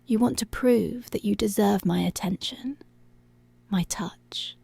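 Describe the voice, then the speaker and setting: A woman playing a dominant, teasing, seductive policewoman in an intimate ASMR roleplay, with a breathy, whispery voice and slow, deliberate, commanding delivery. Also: medium pitch, mellow, no echo, exaggerated voice. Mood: teasing, controlling. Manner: slow, deliberate, controlling, drawn-out phrases.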